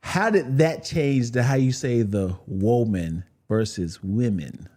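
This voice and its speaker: A man's voice: takes it slowly, warm tone. A man co-hosting a podcast, chatting casually and playfully arguing over how words are said. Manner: playful, argumentative, big swings in pitch. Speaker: podcast co-host. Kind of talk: casual chat.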